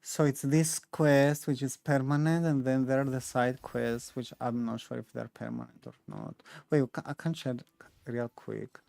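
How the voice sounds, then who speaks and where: A man's voice: medium pitch, steady tone, normal speed, gravelly, darkish tone, dry recording. A man giving casual gaming commentary as a streamer, chatty and thinking aloud as he plays. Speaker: game streamer.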